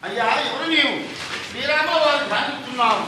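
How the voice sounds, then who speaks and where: Man playing very high, echoing puppet-play character. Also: quick pace. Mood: challenging. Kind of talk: Telugu folk puppet theatre, declaimed and chanted. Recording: very echoey, distant, loud percussion music.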